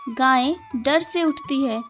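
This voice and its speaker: A woman narrating a children's cartoon moral story. Her voice is high and unhurried. She tells it gently, in a scripted storybook style. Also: soft background music.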